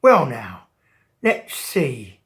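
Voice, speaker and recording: Woman storyteller as a sly, low-pitched storybook shark, drawling. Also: big pitch swings, drawn-out words, a bit bright, full character voice. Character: sly hungry male shark, scheming.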